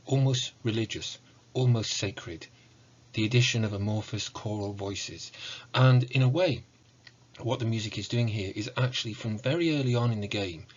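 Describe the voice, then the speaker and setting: Low, husky male lecturer, slightly muffled. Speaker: university lecturer. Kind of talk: academic lecture with slides on video game music.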